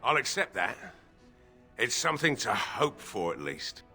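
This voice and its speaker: A man's voice: mid-range voice, big pitch swings, a little slow, bright, forceful tone, slightly rough, soft background music, full character voice. A man acting a grieving, drunken, bitter village blacksmith in a fantasy video game, with a weary, sardonic drawl. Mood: resigned. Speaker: voice actor in a fantasy role-playing game.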